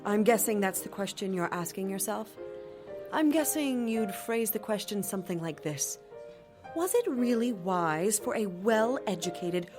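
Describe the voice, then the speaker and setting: A self-assured female lawyer, played by an actress, narrates an audio drama monologue over clear music. Her voice is on the low side. She speaks in a measured, wry way, articulate and unapologetic. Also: a little mellow, natural voice. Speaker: narrator, chief counsel. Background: music under the voice.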